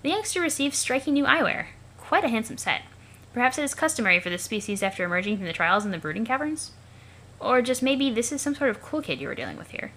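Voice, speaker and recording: A woman's voice: fairly high pitch, very animated, quick pace, a bit bright, a little hiss or hum, voice pushed up. A woman voicing a wry narrator who fondly observes an alien child in mock-formal, lilting storybook style. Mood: amused, fond.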